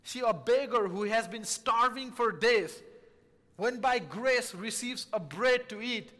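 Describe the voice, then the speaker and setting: A man's voice: extremely high pitch, unhurried, bright, forceful tone, big-room sound. A man from Nepal, preaching an earnest sermon as a missionary and repeating key phrases for emphasis.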